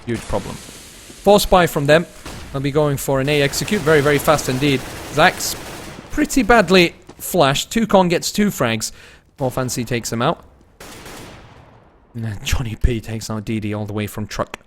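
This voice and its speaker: Male esports commentator, medium pitch, casual streamer chat mixed with keen play-by-play, slight background noise from game gunfire and clicks. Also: moderate pace. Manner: swings between excited play-calling and low-key rambling.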